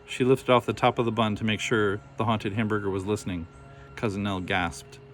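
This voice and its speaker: A man's voice: fairly low pitch, flat delivery, brisk, fairly bright tone, a little hiss or hum. A man reading a scripted children's story aloud as narrator. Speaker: storybook reader.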